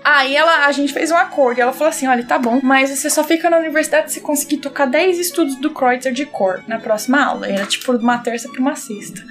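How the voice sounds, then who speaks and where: A young Brazilian woman with a Brazilian accent, a violinist guest telling a personal story in casual chat, lively and laughing often. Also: high voice, fast talker, few pauses, faint music behind.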